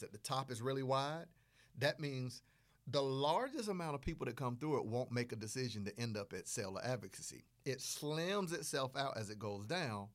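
A man hosts a solo business podcast and speaks at a middle pitch for a man. He explains each step emphatically and builds rhythm by repeating phrases. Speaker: podcast host.

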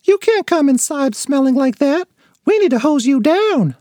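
Guy putting on a bossy mom voice, high and swoopy, scolding, dry sound. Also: big pitch swings, normal speed, dark tone, dry recording. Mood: firm. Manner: scolding, emphatic. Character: bossy mother, middle-aged woman, strict.

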